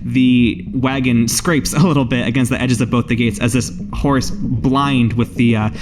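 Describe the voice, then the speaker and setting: A man's voice: mid-range voice, fairly even intonation, normal speed, noisy background. A man running and narrating a tabletop role-playing game as game master, teasing and playful. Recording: music behind the voice. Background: background music.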